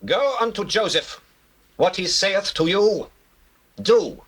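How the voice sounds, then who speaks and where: A man's voice: sits high, animated, slow, fairly bright tone, slightly rough, heavily acted. An actor playing Pharaoh, a commanding king of Egypt, in a grand, stately declamation for a scripture drama. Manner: declamatory, stately, theatrical delivery. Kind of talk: classical dramatized scripture reading. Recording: noisy old cassette recording with background ambience.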